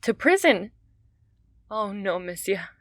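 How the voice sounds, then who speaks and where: A woman's voice: medium pitch, very animated, slow pace, no echo, studio quality. An actress playing a desperate young mother in an audio drama, pleading and tearful. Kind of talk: radio drama acting.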